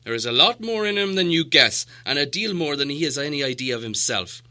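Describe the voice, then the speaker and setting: A man's voice: fairly high pitch, expressive, fairly quick, bright, forceful tone, pitched above the performer's own voice. A man voicing a stern, commanding old wizard in a storybook reading, emphatic and authoritative. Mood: stern, angry.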